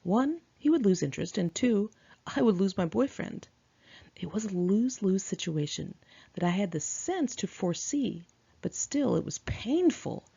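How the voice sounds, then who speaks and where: Woman narrating her memoir, low husky voice w lots of fry, candid, kinda dull home recording. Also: moderate pace. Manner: candid, expressive, acts out dialogue. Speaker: author reading own memoir. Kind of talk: memoir audiobook narration, conversational storytelling.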